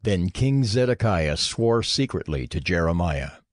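A man's voice: low-pitched, very animated, measured pace, no room sound, clean studio sound. A man narrating scripture as part of a dramatized audio reading.

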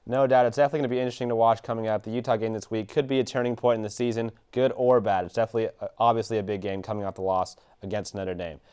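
Young male anchor, rapid delivery, studio desk news read. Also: on the low side, steady tone, a little mellow, smooth. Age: young adult.

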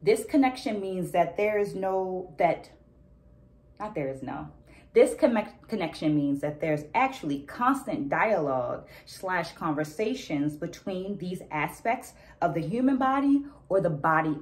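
Woman in her 30s, lowish voice, talking thru book notes to camera, deliberate n explanatory. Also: sing-song, a little mellow. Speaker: book vlog host. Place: small indoor room at home.

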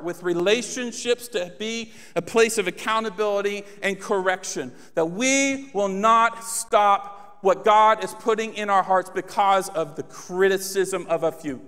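A man's voice: sits high, unhurried, hardly any pauses, a bit bright, clean tone. A man in his thirties preaching a passionate sermon on a church stage, speaking into a handheld mic and rising to emphatic appeals. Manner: passionate, builds to emphatic exhortation. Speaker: pastor. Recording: long hall reverb.

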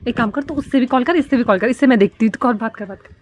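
Young woman doing casual vlog chat, really high voice, bit of background noise. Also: rapid-fire. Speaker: vlog host and prankster. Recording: faint noise behind voice.

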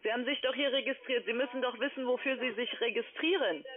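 A woman's voice: a little high, fast. A woman speaking in a curt, impatient way as a call center agent on a phone call from an open-plan office. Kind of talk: phone sales call turning into argument. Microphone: telephone.

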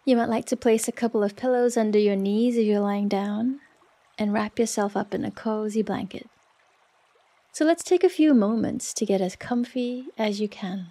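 A woman leading a guided relaxation meditation in a soft, soothing, unhurried manner with long pauses. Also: mid-range voice. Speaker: yoga nidra meditation guide.

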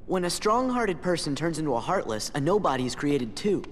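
A man's voice: on the high side, big pitch swings, fairly quick. A man voicing an earnest, upbeat teenage boy hero with an eager manner in a video game cutscene. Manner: eager, boyish. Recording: reverberant hall, faint background noise.

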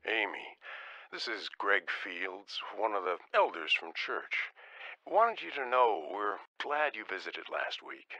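A friendly, folksy church elder, talks encouragingly in an audio drama. His lower voice is very animated, heard through a dry, narrow telephone sound. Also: measured pace, no room sound, full character voice. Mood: friendly, upbeat.

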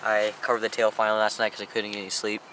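Low-voiced guy talking fast and flat at an rc field, background noise. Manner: quick, flat, matter-of-fact rambling. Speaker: model plane hobbyist. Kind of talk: casual chat to camera. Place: outdoor grass airfield at dawn. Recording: outdoor camera audio with noticeable background noise.

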